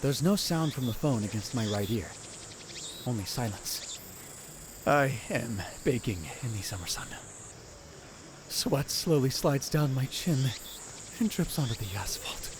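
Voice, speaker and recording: Man voicing a hushed, theatrical young mad scientist. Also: medium pitch, lively intonation, measured pace, pauses often, a little hiss or hum, natural voice. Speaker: narrator and lead in fan dub. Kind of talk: first-person visual novel narration. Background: music.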